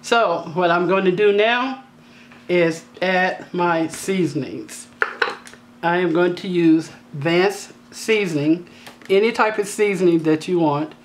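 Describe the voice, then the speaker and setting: A woman with a slightly raspy voice hosting a home cooking tutorial, talking in a chatty, down-to-earth way with joking asides. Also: on the low side, slow pace, a little hiss or hum. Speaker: cooking channel host. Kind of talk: casual cooking tutorial voice-over. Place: home kitchen.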